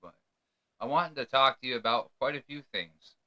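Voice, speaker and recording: A man's voice: mid-range voice, moderate pace, sharp tone, low-quality recording. A man from New York hosting a casual podcast interview, often stumbling and repeating words as he asks his questions. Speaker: podcast host.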